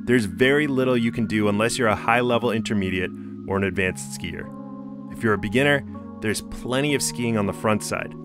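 A young man from the United States reads a scripted vlog voice-over with an upbeat, informative manner. His voice sits a bit low. Soft background music plays quietly underneath. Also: a little fast. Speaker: vlogger. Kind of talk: scripted travel narration. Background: light background music.

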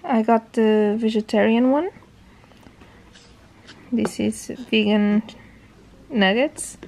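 A woman's voice: on the high side, takes it slowly, vocal fry, darkish tone, a little hiss or hum. A young woman with a Portuguese accent, vlogging casually to a handheld camera from a hotel room and her living room, chatty and easily sidetracked. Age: young adult. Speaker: travel vlogger. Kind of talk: casual vlog chat. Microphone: handheld camera at arm's length.

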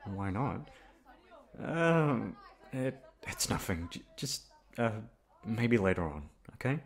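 A young man playing a shy, easygoing college classmate in an ASMR roleplay, talking casually in a hesitant, halting way. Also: lowish voice, very animated, some chatter behind, exaggerated voice. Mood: flustered, hesitant. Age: young adult. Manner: hesitant, halting, awkward chuckles. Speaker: voice actor. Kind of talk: ASMR roleplay audio drama, casual conversational.

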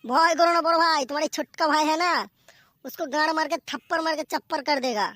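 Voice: sits high, fairly even intonation, quick pace, bright, forceful tone, dry recording, clean studio sound. A performer voicing a cheeky, crude, boastful younger brother in a slapstick comedy cartoon.

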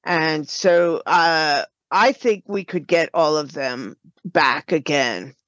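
A woman's voice: deep voice, slow, a bit bright, dry recording. An older woman talking as an interview guest, telling stories conversationally over a dull lo-fi remote connection. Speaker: podcast guest, writer and producer. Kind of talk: conversational storytelling.

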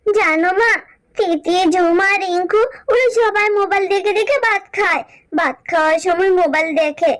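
A woman's voice: very high voice, normal speed, fairly bright tone, no room sound, clean studio sound. A woman voicing a sulky, pleading little animal girl in a children's cartoon, whiny and insistent. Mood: coaxing. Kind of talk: Bengali children's cartoon voice acting.

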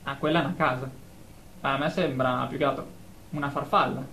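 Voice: medium pitch, fairly even intonation, fairly quick, fairly bright tone, slight background noise, natural voice. An actor's earnest, defensive teenage boy patient. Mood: hesitant, earnest. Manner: hesitant, defensive, insistent. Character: sixteen-year-old delusional boy, earnest, defensive, stubborn. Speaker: therapy patient character. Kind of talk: radio drama acting.

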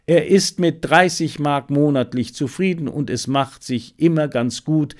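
A man's voice: fairly high pitch, unhurried, darkish tone, lightly acted. A man voicing a proud, garrulous menagerie owner in an audiobook, chatty, with little hesitant interjections. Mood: casual, grumbling.

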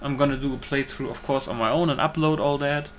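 A man gives casual gaming commentary in a mid-pitched voice. He rambles and breaks off to restate himself. There is clear noise behind him. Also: steady tone, quick pace. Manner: rambling, self-interrupting. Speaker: gaming YouTuber. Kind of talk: casual let's-play commentary.

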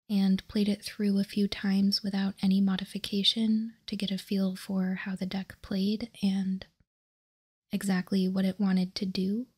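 A woman whispers an ASMR explanation close to the microphone. Her delivery is slow and very even, with a soft, mellow, breathy texture. She sounds calm and measured throughout. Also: medium pitch. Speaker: host of a card game ASMR channel.

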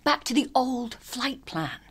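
A woman's voice: high voice, expressive, a little slow, fairly bright tone, slightly rough, full character voice. An actress playing a spirited, anxious female agent in a radio drama, urgent in manner. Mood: urgent hope.

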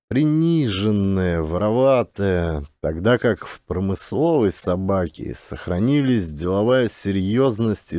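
A man narrating a literary short story as an audiobook, in a measured, expressive storytelling manner. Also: fairly low pitch, no echo, studio quality.

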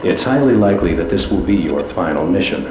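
Game briefing officer, lowish voice, businesslike and authoritative, roomy echo with clear noise. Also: male voice, expressive, brisk. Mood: firm, grave. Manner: formal, authoritative. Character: firm, businesslike military commander briefing fighter pilots. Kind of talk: scripted military briefing. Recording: echoey, noisy briefing audio.

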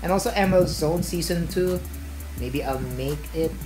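A man's voice: on the high side, moderate pace, over music. A young man with a Filipino accent, a native Filipino speaker, vlogging casually in a small room into a handheld phone, rambling and correcting himself with frequent chuckles. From Philippines. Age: young adult. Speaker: tech vlogger. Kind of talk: casual vlog. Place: small home room indoors. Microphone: phone camera held at arm's length.

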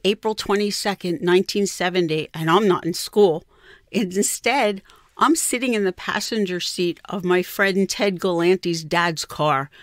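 A woman's voice: on the low side, no echo, clean studio sound. A woman in her sixties reading her own scripted first-person memoir aloud. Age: 60s.

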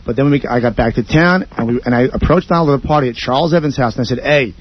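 A man's voice: mid-range voice, very fast, muffled recording. A man arguing as a radio guest in a blunt, streetwise, combative way. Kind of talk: heated unscripted argument.

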